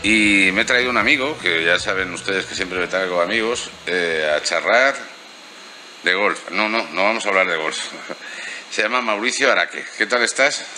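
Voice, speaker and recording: A man's voice: low-pitched, normal speed, slight background noise, poor audio. A middle-aged man hosting a casual interview in a lounge bar, chatting easily with a handheld mic. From Spain. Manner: relaxed, chatty, with fillers. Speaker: host.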